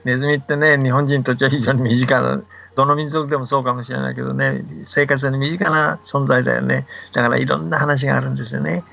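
A man telling folktales as a guest on a radio show, chatty and relaxed, with asides to the host, heard over a muffled remote line. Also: mid-range voice, quick pace, creaky, few pauses. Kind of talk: folktale telling in casual talk. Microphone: remote line to the studio.

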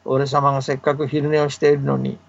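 A man giving voice to a proud, lazy big tomcat who snaps back haughtily in a gravelly voice. It comes through muffled, like a remote line, and it is told as a folktale for children. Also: mid-range voice, completely flat delivery, brisk. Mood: grumpy. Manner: haughty, gruff retorts. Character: big lazy male cat, grumpy and proud.

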